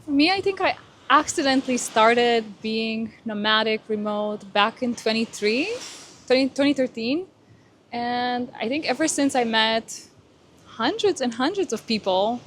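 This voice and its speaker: Higher-pitched woman from Romania, casual. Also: lively intonation, measured pace, a bit bright, slight background noise. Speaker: panel guest, team member. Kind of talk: casual chat.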